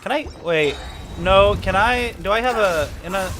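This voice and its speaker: A man doing casual live gameplay commentary, playful and excitable, often talking to himself. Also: sits high, moderate pace. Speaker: game streamer.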